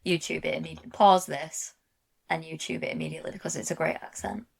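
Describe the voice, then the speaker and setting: A woman co-hosting a podcast in a casual chat, chatty and quick to agree and chime in. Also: sits low, creaky, slightly rough. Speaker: podcast co-host.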